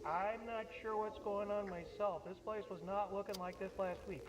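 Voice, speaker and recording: An actor playing an easygoing small-town police officer in a horror web series, talking in a folksy way. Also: male voice, high voice, fairly even intonation, moderate pace, noisy background, lightly acted.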